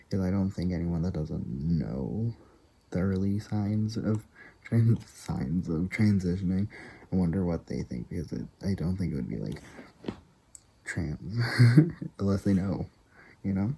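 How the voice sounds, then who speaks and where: Man, casual rambling vlogger, very dark mellow tone, laughs often between thoughts. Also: deep voice, gravelly, slightly rough. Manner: rambling, many fillers, frequent soft laughs.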